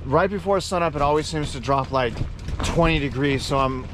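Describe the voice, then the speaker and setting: A man's voice: on the high side, moderate pace, fairly bright tone, slight background noise. A man in his thirties chatting casually to camera outdoors in a laid-back, slangy way. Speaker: fishing vlogger. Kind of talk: casual outdoor vlog chat. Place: open windy beach, sometimes inside a canopy tent.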